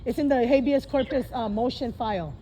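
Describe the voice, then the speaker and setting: A woman's voice: fairly high pitch, brisk, warm tone. A woman in a heated phone conversation, insistent and emphatic, often repeating herself for weight. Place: at a public library. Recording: faint background noise.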